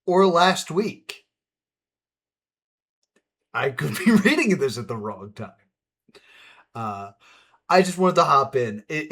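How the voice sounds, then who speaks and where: Male let's play host, middle pitch, casual and halting, reacting off the cuff and thinking aloud. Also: fairly bright tone, breathy edge.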